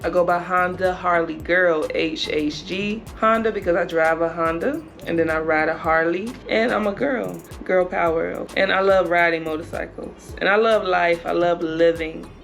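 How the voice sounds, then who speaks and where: A woman's voice: on the low side, steady tone, normal speed, creaky, few pauses, a bit bright, soft background music. A woman from South Carolina with a Southern accent, chatting in an upbeat way on a couples channel. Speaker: co-host. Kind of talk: casual chat.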